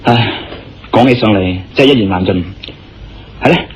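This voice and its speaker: A man playing a confident, courteous adventurer hero in a radio drama, with a Hong Kong Cantonese accent and an expressive, conversational delivery. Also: lowish voice, moderate pace, close to an everyday voice. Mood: courteous, curious. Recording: muffled lo-fi radio drama recording.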